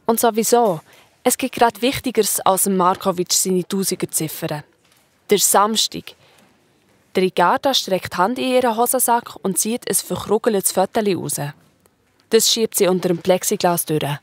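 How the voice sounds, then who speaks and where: A woman's voice: mid-range voice, moderate pace, no room sound. A woman with a Swiss German accent narrating a scripted children's story in a colloquial storytelling manner.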